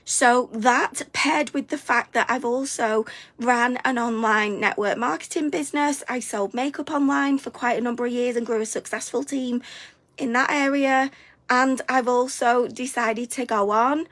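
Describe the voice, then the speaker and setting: A woman, hosting a live stream, a little hoarse and congested from a cold, chatty and upbeat, sometimes stumbling over her words. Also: on the high side, fairly even intonation, normal speed, a bit bright. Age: 40s. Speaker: host of a weekly live stream. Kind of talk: live stream chat to viewers.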